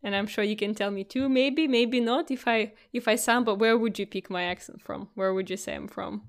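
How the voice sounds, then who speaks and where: A woman's voice: medium pitch, brisk. A young woman from Russia, with a Russian accent blended with Australian, chatting casually, restarting phrases and laughing between thoughts. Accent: Russian, mixed with Australian. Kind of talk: casual chat.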